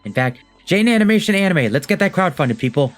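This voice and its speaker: A man narrating a countdown of favorite online creators in a casual, monotone, matter-of-fact way, with frequent swearing. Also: high-pitched, fast, soft background music. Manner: laid-back, profane, matter-of-fact. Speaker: YouTube commentator. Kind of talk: scripted countdown commentary.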